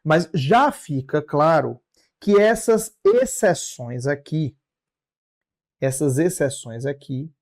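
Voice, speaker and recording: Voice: mid-range voice, expressive, takes it slowly, a little mellow. A Brazilian law teacher giving an explanatory lecture, didactic, repeating and rephrasing key phrases with short check-in tags.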